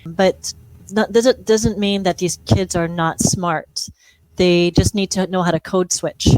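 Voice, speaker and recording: A woman's voice: on the low side, steady tone, slow pace, darkish tone, no room sound, rough recording. A middle-aged woman from Hawaii, a speech-language pathologist, chatting as an interview guest in a self-deprecating way, with frequent laughs. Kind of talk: conversational interview.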